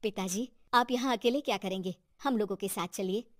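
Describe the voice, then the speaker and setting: A woman's voice: mid-range voice, fairly quick, no echo, clean studio sound, natural voice. A woman playing a caring, respectful eldest daughter-in-law in a cartoon, polite and composed.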